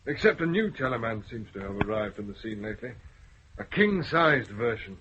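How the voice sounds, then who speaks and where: An actor playing a plain-spoken senior police officer in a radio drama. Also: male voice, medium pitch, very animated, brisk, a bit bright, slight background noise. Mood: firm. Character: blunt senior male Scottish police chief. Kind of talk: 1970s BBC radio drama acting. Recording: dull old radio broadcast.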